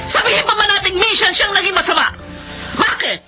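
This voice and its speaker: Emotional mother, very high-pitched. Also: fairly quick. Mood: outraged, anguished. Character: pleading, emotional mother, fiercely protective of her husband. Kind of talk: Filipino radio drama acting. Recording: dull radio drama audio with music.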